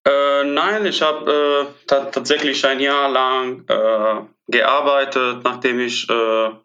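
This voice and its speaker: A man's voice: medium pitch, little pitch movement, slow, bright, forceful tone, highs cut off. A man, answering interview questions hesitantly with many filler sounds. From Italy. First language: Italian. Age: 20s. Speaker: guest, airport trainee. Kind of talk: podcast interview.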